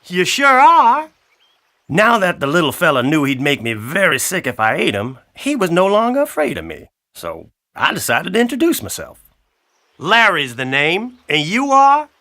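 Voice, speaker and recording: A man voicing a friendly, wry blackbird who tells a children's story in a folksy, chatty, expressive way. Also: high-pitched, normal speed, heavily acted. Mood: friendly, enthusiastic. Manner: folksy, expressive, addresses listener directly. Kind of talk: animated children's audiobook storytelling.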